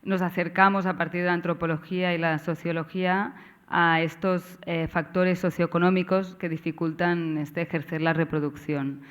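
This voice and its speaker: A woman with a Catalan accent, whose first language is Catalan, opens a seminar session as its organizer, talking haltingly with frequent tag questions and restarts. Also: on the low side, level tone. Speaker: course organizer and moderator. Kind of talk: seminar introduction.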